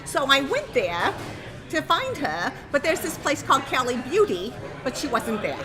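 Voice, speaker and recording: Woman doing a rambling high-voiced ditzy makeup artist. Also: sing-song, normal speed, over music. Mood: breezy. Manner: rambling and giggly. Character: chatty, scatterbrained woman makeup artist to the stars. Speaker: comic guest. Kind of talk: improvised comedy talk show bit.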